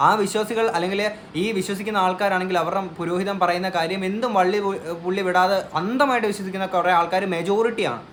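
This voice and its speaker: Very fast-talking, high-voiced man commentator. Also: steady tone, fairly bright tone, slight background noise. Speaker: commentary vlogger. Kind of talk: opinion commentary on a news case.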